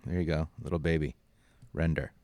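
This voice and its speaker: A man narrating a software tutorial as an instructor, informal and thinking aloud as he goes. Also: rumbling low, steady tone, fairly quick, very noisy. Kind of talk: casual screen-recorded tutorial narration.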